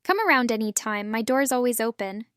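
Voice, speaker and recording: Smooth woman reading example sentences. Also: a little high, steady tone, fairly quick, a bit bright, no room sound.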